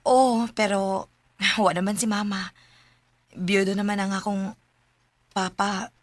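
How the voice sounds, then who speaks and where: An actress playing a polite, gentle young woman, a sweetheart and daughter, in a Filipino radio drama. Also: mid-range voice, level tone, slow, no echo, close to an everyday voice.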